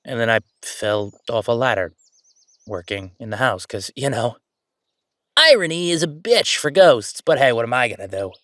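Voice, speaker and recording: A man's voice: mid-range voice, lively intonation, normal speed, fairly bright tone, dry recording, lightly acted. A man playing a chatty, easygoing ghost who died at thirty-five, rambling casually with wry asides in an audio roleplay. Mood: wry, resigned. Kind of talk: conversational audio roleplay monologue.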